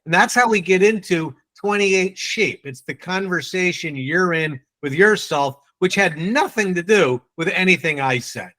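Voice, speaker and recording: A man with a New England accent leading a live online sales training, quizzing and teasing his audience in a brisk way. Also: high-pitched, animated, bright, forceful tone.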